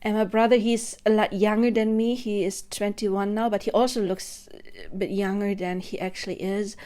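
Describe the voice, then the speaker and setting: A woman's voice: medium pitch, steady tone, normal speed, mellow. A woman in her thirties giving a spontaneous, earnest monologue to camera about her spiritual beliefs, thinking aloud as she goes. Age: 30s. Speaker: spiritual vlogger.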